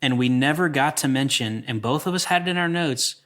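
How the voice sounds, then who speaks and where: A man's voice: mid-range voice, fairly quick, a bit bright. A man co-hosting a movie podcast, telling stories in a slangy, conversational and casual way.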